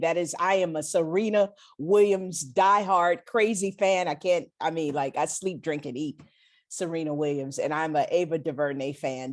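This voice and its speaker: Low-voiced American woman being interviewed. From Los Angeles, United States. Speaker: interview guest, professor and author. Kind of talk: casual interview chat.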